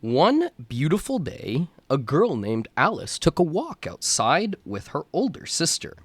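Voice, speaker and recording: A man reading a storybook aloud as a teacher for young children, in deliberate phrases. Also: on the high side, huge pitch swings, husky.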